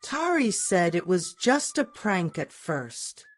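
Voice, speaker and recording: A woman plays a guilty teenage schoolboy in a narrated story. He is apologetic and pleading, speaking slowly in a slightly low register. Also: sing-song, faint music behind, heavily acted. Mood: remorseful.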